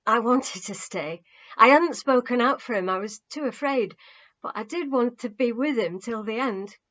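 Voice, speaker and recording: A woman voicing an anguished, guilt-ridden young man in an audiobook, halting and emotionally raw. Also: fairly high pitch, fairly bright tone, dull audio, lightly acted. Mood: distressed, regretful. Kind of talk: audiobook character acting.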